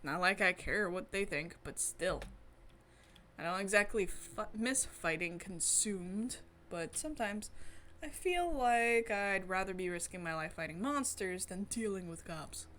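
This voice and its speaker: A woman's voice: medium pitch, expressive, measured pace, a bit bright, a little hiss or hum. A woman voicing a world-weary female detective, a former magical girl, with wry, defensive muttering in a visual novel.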